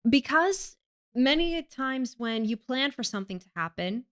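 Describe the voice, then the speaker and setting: Woman guest from Greece, smooth clear voice, relaxed chat with frequent ums. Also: high-pitched, normal speed. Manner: chatty, laughs often, many ums. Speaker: podcast guest. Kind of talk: casual interview chat.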